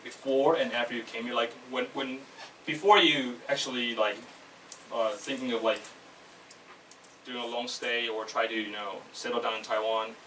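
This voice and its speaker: A man's medium-pitched voice in an echoey room, some distance from the mic with faint noise behind. He moderates an informal panel, putting questions in a halting, loose way. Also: fairly bright tone. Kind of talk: informal live panel moderating in English and Mandarin. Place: live talk event in a room.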